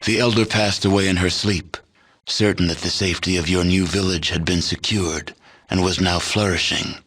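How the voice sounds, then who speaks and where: A man playing a grave, solemn narrator of a post-apocalyptic video game, telling the tale in measured, deliberate scripted narration. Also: low voice, fairly even intonation, a bit bright, breathy edge.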